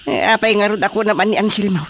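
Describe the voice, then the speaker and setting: A woman's voice: mid-range voice, steady tone, gravelly, a bit bright, a little hiss or hum. A woman playing a curious, nosy neighbour who exclaims and questions, in an Ilocano radio drama comedy. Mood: curious, amused. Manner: inquisitive, reacting with drawn-out exclamations.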